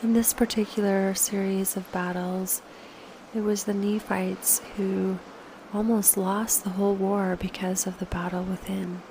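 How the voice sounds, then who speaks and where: A woman leads a guided meditation in a soft, unhurried way, leaving space between phrases. Her mid-pitched voice has a noticeable creak, and phrase endings fall away into breath. Clear ambient noise sits behind her. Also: flat delivery. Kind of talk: slow guided meditation with reflective questions.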